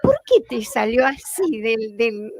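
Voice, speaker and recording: High-voiced woman presenter. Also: sing-song, slow, no room sound, studio quality. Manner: searching for words, many fillers. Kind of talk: hosting conversation.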